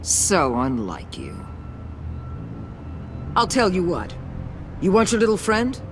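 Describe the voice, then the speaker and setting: A woman's voice: lowish voice, very animated, slow, background noise. A woman playing a hard-nosed, ruthless agency boss in a video game, commanding and scornful. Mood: calculating. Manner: commanding, cutting, sarcastic.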